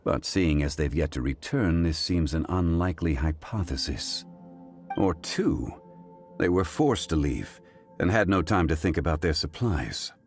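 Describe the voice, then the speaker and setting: An actor playing a composed, scholarly gentleman investigator in a video game, speaking in a formal, measured, analytical way. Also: extremely low pitch, animated, faint music behind, heavily acted. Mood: calm, analytical. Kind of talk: video game character dialogue.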